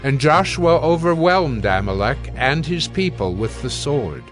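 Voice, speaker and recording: A man's voice: mid-range voice, very animated, faint music behind. Solemn male scripture narrator. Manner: measured, solemn.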